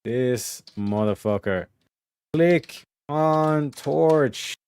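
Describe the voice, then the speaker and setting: A man's voice: medium pitch, drawn-out words, darkish tone, smooth. A man streaming a game, chatting casually and joking with his viewers, swearing a lot. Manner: profane, joking, talks to chat while playing. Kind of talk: casual gaming livestream chat.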